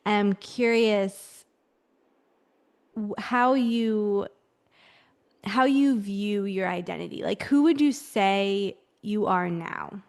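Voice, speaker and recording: A woman's voice: medium pitch, slow pace, gravelly, pauses often. Affirming woman podcast host. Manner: warm, affirming, thoughtful questions with "mm" listening. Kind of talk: interviewing.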